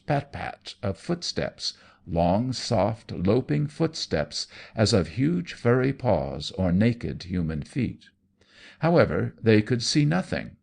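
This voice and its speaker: A man's voice: lowish voice, creaky, darkish tone. A man reading gothic fiction aloud in a dramatic, theatrical, suspenseful storytelling manner. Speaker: audiobook narrator.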